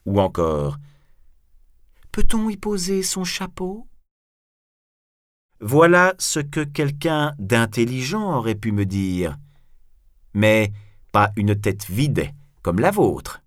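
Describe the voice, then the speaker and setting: A man's voice: medium pitch, sing-song, slow pace, long pauses, no echo, clean studio sound, pitched above the performer's own voice. A man voicing a proud, bold, mocking swordsman in a grandiloquent storybook style. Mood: cool mockery. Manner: grandiloquent, taunting.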